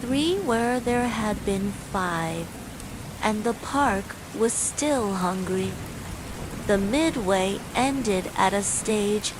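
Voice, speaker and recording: A woman reading a scripted bedtime horror story in a measured, suspenseful way, as a storyteller. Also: medium pitch. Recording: steady loud rain noise throughout. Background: falling rain.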